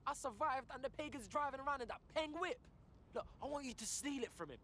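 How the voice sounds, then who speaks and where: An actor playing a brash, streetwise young London car thief in a video game, talking cocky street slang. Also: male voice, extremely high pitch, animated, fast, bright, forceful tone, slight background noise, heavily acted. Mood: aggrieved, scheming. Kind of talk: video game cutscene acting.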